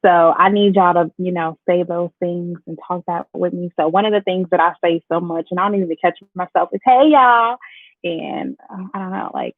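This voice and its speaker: A woman's voice: lowish voice, normal speed, dry recording. A young woman from Chicago with a bit of Southern twang, chatting playfully with her viewers during a makeup livestream. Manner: chatty, playful, lively, talks to the chat. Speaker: beauty vlogger. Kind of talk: casual makeup tutorial livestream. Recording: dry phone-like audio.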